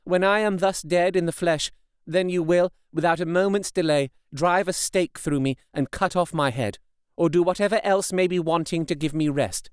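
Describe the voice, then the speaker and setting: A devoted young wife, as a male reader puts her on, pleading with earnest tenderness. The voice sits noticeably higher than the reader's own, smooth and resonant. It is heard dry and close, with no room around it. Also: moderate pace, no room sound, natural voice. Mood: solemn pleading. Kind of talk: audiobook character reading.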